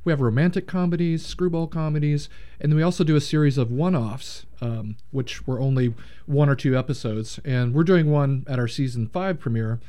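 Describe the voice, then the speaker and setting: A man's voice: mid-range voice, fairly quick, warm tone. A man who runs a radio drama troupe, talking about it with enthusiasm and some fillers. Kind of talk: casual interview chat.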